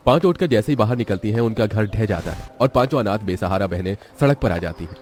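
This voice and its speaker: A man's voice: on the low side, animated, quick pace, darkish tone, a little hiss or hum. A man narrating a scripted children's moral story in a dramatic way.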